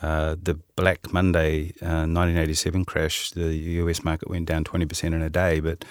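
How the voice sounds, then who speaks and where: A man's voice: extremely low pitch, completely flat delivery, moderate pace, clear, resonant tone, no echo, studio quality. A man in his fifties with a New Zealand accent, talking in a podcast conversation with many fillers and restarts. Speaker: head of asset management.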